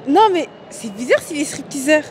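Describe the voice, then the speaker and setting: A woman's voice: high voice, normal speed, a crowd in the background. A woman answering a street interview outdoors, amused and chatty. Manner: lively, amused. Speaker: passerby interviewee. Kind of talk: casual street chat. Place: outdoor city square. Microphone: host's handheld mic.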